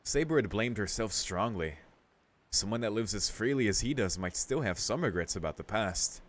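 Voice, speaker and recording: A man's voice: fairly low pitch, animated, normal speed. A man reading a visual novel aloud in a casual let's-play style, mixing narration with his own commentary.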